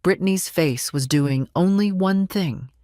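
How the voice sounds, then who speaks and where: A woman reading scripted first-person dramatic narration in a measured, composed, deliberate manner. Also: on the low side, dark tone, no echo, studio quality. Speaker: story narrator.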